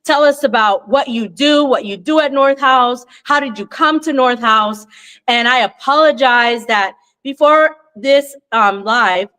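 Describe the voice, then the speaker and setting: A woman hosts a live interview on location, her voice pitched slightly high. She talks in a chatty, effusive way, restarting sentences often. Her voice is fading and she coughs between phrases. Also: unhurried, a bit bright, clean tone. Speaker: host, consulting CEO. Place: co-working space, on location.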